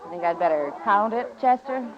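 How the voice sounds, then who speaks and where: An actress playing a wry, needling gambler's companion in a 1950s radio western, with a teasing, sardonic manner. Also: female voice, mid-range voice, expressive, close to an everyday voice. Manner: teasing, sardonic, drawn-out delivery. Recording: dull old radio recording with crowd noise.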